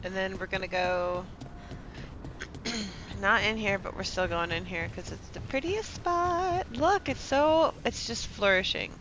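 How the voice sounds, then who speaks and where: Female game streamer: mid-range voice, chatty, playful, thinks out loud, faint noise behind. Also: medium pitch, expressive, measured pace. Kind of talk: casual live gameplay commentary.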